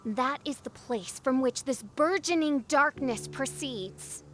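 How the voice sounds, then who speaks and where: High, slow, grandiose noblewoman. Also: a bit bright, soft background music. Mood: ominous. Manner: pompous, florid, dramatic. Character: theatrical, grandiose young noblewoman speaking archaically. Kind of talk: video game voice acting.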